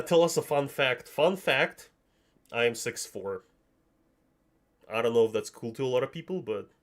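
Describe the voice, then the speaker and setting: Animated young man, slight Russian accent. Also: medium pitch. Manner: joking, self-deprecating, slangy. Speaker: anime commentary streamer. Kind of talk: casual livestream chat, answering viewers.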